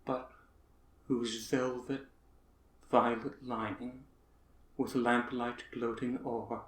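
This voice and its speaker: Man acting a slow, tormented poet reciting theatrically, echoing. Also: medium pitch, level tone, a bit bright. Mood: brooding. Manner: theatrical, declamatory, with long pauses. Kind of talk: dramatic poetry recitation. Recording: roomy, echoing space.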